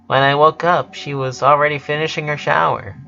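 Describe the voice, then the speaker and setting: A man voicing an anxious, shaken older sister in a storybook, halting and fretful. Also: a little high, fairly even intonation, creaky, sharp tone, highs cut off.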